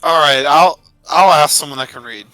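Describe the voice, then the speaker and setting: A man's voice: fairly high pitch, normal speed, pushed and bright, no room sound, faint music behind, rough recording. A man chatting casually as a player in a tabletop roleplaying game. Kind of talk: casual chat.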